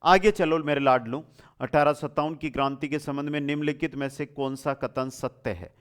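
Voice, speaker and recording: Slightly high-voiced male teacher. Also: steady tone, moderate pace, clean tone. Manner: familiar, addresses students affectionately, repeats answers. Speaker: online exam-prep history teacher. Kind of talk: quiz lesson, reading questions and explaining answers.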